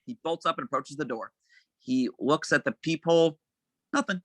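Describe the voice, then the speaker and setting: A man's voice: a little high, moderate pace, fairly bright tone, no room sound. A man narrating stage directions from a script at an amateur table read.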